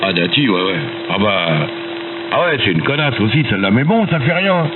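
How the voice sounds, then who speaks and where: Male ham radio operator, lowish voice, blunt slangy delivery, narrow tinny radio signal. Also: sing-song, fairly quick. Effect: amateur radio transmission. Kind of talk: casual radio chat. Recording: noisy, phone-like ham radio signal with hum. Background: radio static and hum.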